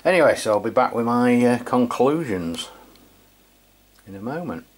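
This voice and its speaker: A man's voice: medium pitch, expressive, normal speed. A middle-aged man giving a casual, matter-of-fact hands-on product review. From England. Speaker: radio review channel host.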